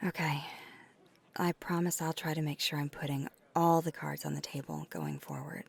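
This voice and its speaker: A woman plays a tender female vampire opening up to a date in an intimate ASMR roleplay. The voice is lowish, breathy and creaky, often dropping to a whisper. The delivery is earnest and gentle, with hesitant pauses. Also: sing-song, full character voice. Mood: hesitant, sincere.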